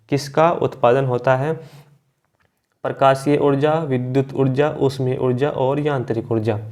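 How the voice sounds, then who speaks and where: A man's voice: medium pitch, darkish tone. A man teaching an exam quiz lesson, reading out questions and options, repeating answers and addressing his students directly.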